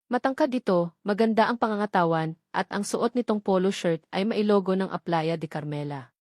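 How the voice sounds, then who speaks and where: A Filipino woman narrates a romantic drama in the manner of an audiobook, reading scripted prose. Her pitch sits in the middle for a woman, and the recording is dry and close, with very little room sound. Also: moderate pace, a little mellow, no room sound.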